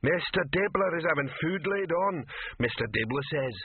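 A man voicing a slow, dim-witted but good-natured male troll henchman in an audiobook, speaking simply and repetitively. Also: fairly high pitch, lively intonation, husky, dry recording, phone-quality sound, voice pushed up. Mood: dutiful, plodding.

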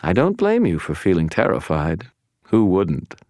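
A man's voice: deep voice, huge pitch swings, moderate pace, a little mellow, dry recording, studio quality, pitched above the performer's own voice, heavily acted. A man voicing a smug, gloating villain in an audiobook, taunting and self-satisfied. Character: smug, gloating male villain holding captives. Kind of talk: audiobook character voice.

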